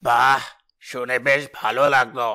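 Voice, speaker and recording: A man playing a grave, solemn holy sage in a fairy tale cartoon, speaking slowly. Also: mid-range voice, flat delivery, pushed and bright, clear, resonant tone. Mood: calm, welcoming. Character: grave holy sage giving ominous prophecy to a king. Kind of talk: fairy tale animation voice acting.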